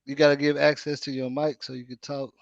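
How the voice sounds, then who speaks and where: A man's voice: mid-range voice, level tone, brisk, gravelly, muffled recording. A man born in Arkansas with a Southern accent, hosting a casual live-stream chat and often repeating his words. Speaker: host.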